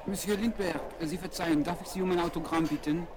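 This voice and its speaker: Schauspieler als ehrerbietiger Diener, hellere Stimmlage. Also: male voice, on the high side, fast talker, close to an everyday voice. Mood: respectful admiration. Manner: formal, courteous, flattering. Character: deferential, admiring French attendant to a celebrity. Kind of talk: 1970s German children's audio drama acting. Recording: vinyl rip, loud street-like noise.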